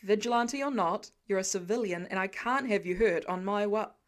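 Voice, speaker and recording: A woman voicing a gruff, commanding male fire chief in an audiobook story, barking curt orders. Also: medium pitch, moderate pace, voice pushed down, close to an everyday voice. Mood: stern, curt.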